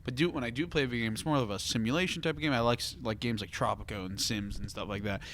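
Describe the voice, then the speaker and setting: A man's voice: medium pitch, fast, fairly bright tone. A man hosting a casual podcast discussion, talking in an emphatic, questioning way. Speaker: podcast host. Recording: noticeable background room noise.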